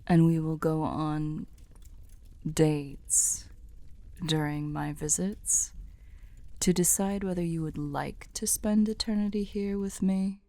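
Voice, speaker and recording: A woman voicing a courtly, tender female demon weary of evil, speaking softly and formally, half whispering, in an ASMR roleplay. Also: deep voice, steady tone, dry recording. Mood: earnest, intrigued. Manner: slow, soft, breathy half-whispered formal speech.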